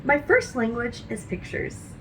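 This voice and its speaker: Lively high-voiced woman reading aloud. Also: a little slow, creaky, noisy background. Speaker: read-aloud host. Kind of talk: expressive read-aloud of a nonfiction book for children.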